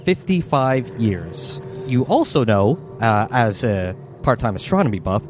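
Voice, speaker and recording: A man running a tabletop game as game master, narrating scenes in a measured, descriptive way and refereeing the rules. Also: mid-range voice, sing-song, faint music behind.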